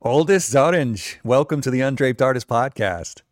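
A man's voice: mid-range voice, moderate pace, loud music behind the voice. A man in his forties hosting a podcast interview, talking in an effusive, enthusiastic way and laughing easily. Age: 40s. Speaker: podcast host.

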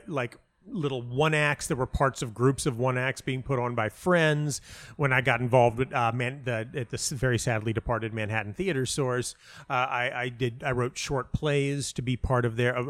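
A middle-aged man talking casually and reflectively as an interview guest, halting and restarting often, with a self-deprecating manner. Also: medium pitch, a bit bright. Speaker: playwright and audio dramatist being interviewed.

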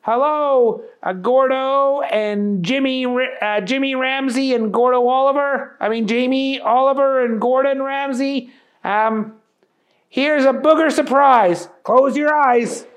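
A man voicing a goofy, bumbling male cook with a high, excited, silly voice in a storybook. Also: slow, lo-fi sound.

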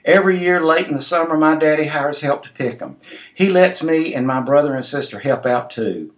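Young Southern bumpkin waiter, acted by a male narrator: thick Southern drawl, raised pitch, earnest and folksy, deadpan tall tales. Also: brisk, natural voice. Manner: matter-of-fact, folksy drawl.

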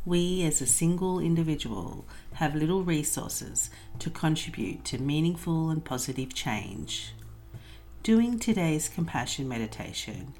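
A woman narrating a guided meditation in a measured, soothing way, with deliberate pauses. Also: low-pitched, darkish tone. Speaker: meditation guide and podcast host. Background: background music.

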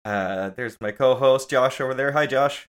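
A man co-hosting a casual movie podcast, relaxed and playful, talking after very little sleep. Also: medium pitch, normal speed, clear, resonant tone. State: low on sleep. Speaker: podcast co-host.

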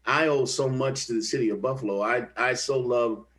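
A man's voice: medium pitch, normal speed, a bit bright. An older actor from the Kansas City area speaks in an easygoing interview and tells his stories. He often stops and restarts his sentences, repeating words as he gathers his thoughts. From Kansas City area, USA. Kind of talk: conversational interview, storytelling.

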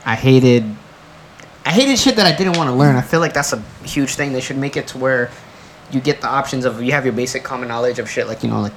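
A man's voice: mid-range voice, fast. A man co-hosting a casual podcast, rambling emphatically and swearing freely as he argues his opinions with friends. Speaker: podcast co-host. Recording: clearly noisy background.

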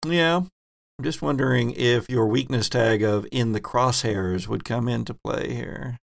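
A man acting as game master, explaining the rules and rulings of a tabletop game in a measured way. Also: mid-range voice, vocal fry, no echo, studio quality.

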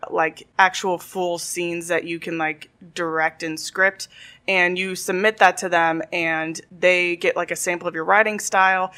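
Young woman comic on a podcast, lowish voice, soft-spoken and thoughtful. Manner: soft-spoken, reflective, often laughing. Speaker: stand-up comedian guest. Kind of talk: casual interview chat.

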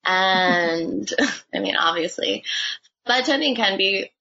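A young woman who works as a budtender, answering interview questions in a relaxed, chatty way with lots of fillers. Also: medium pitch, takes it slowly, creaky. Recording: dull lo-fi sound.

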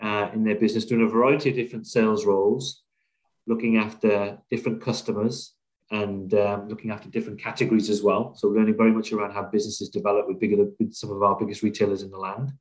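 Middle-aged man, British accent, lowish voice, conversational interview style, reflective, often pausing. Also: level tone, low-quality recording. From Wales, UK. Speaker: podcast guest, business leader. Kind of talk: conversational interview, telling own career story.

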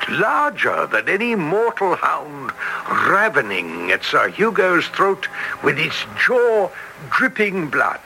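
An actor playing an earnest, excitable country doctor, telling things with theatrical weight in a 1940s radio drama style. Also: male voice, high-pitched, exaggerated voice. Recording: noisy old radio broadcast recording.

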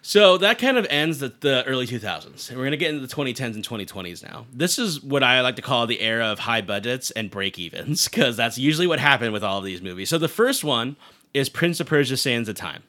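Man, podcast host, quick pace, bright punchy tone, casual slangy movie chat. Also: mid-range voice, expressive.

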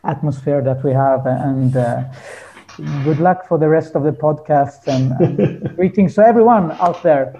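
A man with a soft-edged, very mellow voice set a little high for a male speaker. He talks earnestly and reflectively in an interview, halting to find his words. The recording is somewhat dull and lo-fi. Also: slight background noise. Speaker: guest musician.

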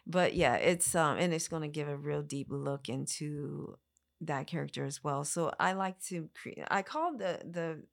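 A woman's voice: low voice, a little slow, vocal fry. A woman from the American Midwest, a performer talking conversationally as an interview guest. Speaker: podcast guest, monologue performer.

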